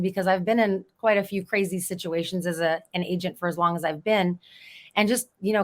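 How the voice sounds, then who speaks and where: Hoarse woman, lowish voice, chatty storyteller, casual interview guest. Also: a bit bright. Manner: chatty, storytelling, laughs easily. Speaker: real estate agent, podcast guest.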